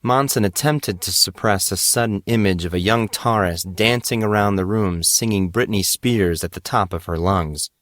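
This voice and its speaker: A man's voice: fairly low pitch, moderate pace, hardly any pauses, fairly bright tone, no echo. A man narrating scripted fiction in an audiobook style.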